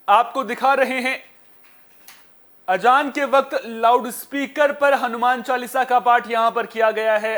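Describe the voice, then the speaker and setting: A male news anchor with a strikingly high voice delivers headlines live. He is emphatic, restating his points and phrasing them rhetorically as he moves through the bulletin. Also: fairly bright tone. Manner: emphatic, repetitive, rhetorical questions.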